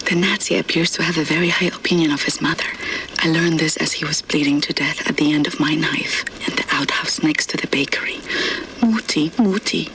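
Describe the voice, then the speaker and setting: A woman voicing a grave young diary reader in a video game audio log, soft, restrained and matter-of-fact. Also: low-pitched, recorded from a distance, noisy background.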